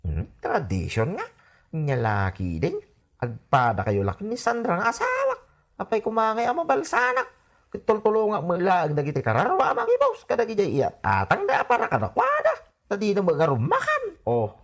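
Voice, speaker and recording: A man's voice: high-pitched, wildly swooping pitch, normal speed, vocal fry, a bit bright, full character voice. A man with an Ilocano accent playing a cheeky, stubborn, wisecracking husband in a comedy radio drama. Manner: wisecracking, exclamatory, argumentative. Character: cheeky, stubborn husband and grown son, joking glutton. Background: faint music.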